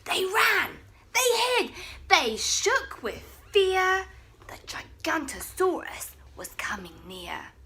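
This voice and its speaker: A young woman reading a bedtime story aloud, expressive and often dropping to a hushed whisper. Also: very high voice, takes it slowly.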